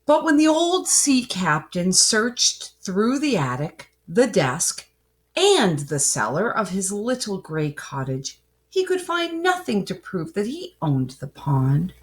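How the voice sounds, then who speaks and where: A woman reading a children's picture book aloud as a storyteller, expressively and with deliberate phrasing. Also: mid-range voice, very animated.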